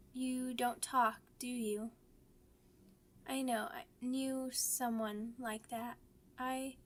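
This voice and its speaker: Timid little werewolf child, played by a woman, very high voice, slow and stammering, for ASMR roleplay. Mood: timid, hopeful. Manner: hesitant, stammering. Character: timid, orphaned young werewolf pup, child, eager to trust. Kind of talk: ASMR audio roleplay acting.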